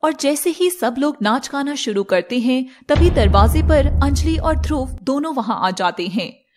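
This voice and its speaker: A woman narrating a scripted animated fairy tale as storyteller, with noise behind her. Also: sits high, measured pace, over music.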